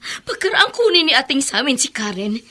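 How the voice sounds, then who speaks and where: Anguished, high-voiced widowed mother. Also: sing-song, brisk, bright, forceful tone, full character voice. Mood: hurt, protesting. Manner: plaintive, emotional. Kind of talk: radio drama acting.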